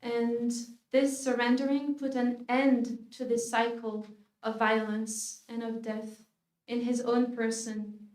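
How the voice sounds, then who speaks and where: A religious sister from France with a French accent, giving a humble, hesitant faith talk with many fillers. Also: female voice, fairly high pitch, a little mellow. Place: lecture hall. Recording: echoey hall, speaker far from the mic.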